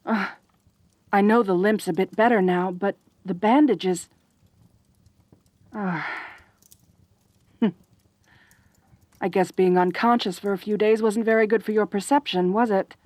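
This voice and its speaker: A woman performs a centaur girl for an ASMR roleplay drama, lonely and possessive but tender underneath. Her delivery is unhurried, hesitant and earnest, with stammered, pleading phrases. The sound is dry and intimate, with no room around the voice. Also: mid-range voice, fairly even intonation, a little mellow, dry recording, close to an everyday voice. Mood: earnest, wounded. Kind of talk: roleplay ASMR audio drama, intimate one-sided dialogue.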